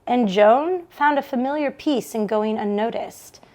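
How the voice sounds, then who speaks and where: A woman reading a novel aloud in an expressive storytelling style. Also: fairly high pitch, a little slow. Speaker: bookshop read-along reader.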